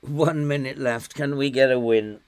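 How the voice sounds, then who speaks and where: Slow-talking older man, hoarse voice. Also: mid-range voice, steady tone. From England. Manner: muttering, talking to himself while playing. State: losing his voice, hoarse. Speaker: gaming YouTuber. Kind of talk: casual gameplay commentary.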